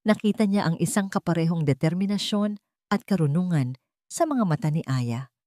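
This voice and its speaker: Female narrator for dramatic audio fiction; low-pitched, soft dark tone, very dry close sound. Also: expressive, normal speed, dry recording.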